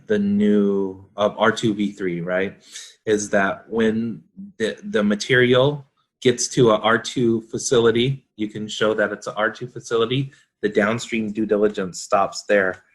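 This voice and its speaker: A man explaining technical standards in a panel discussion as a consultant, in a halting, self-correcting way. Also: on the low side, fairly even intonation.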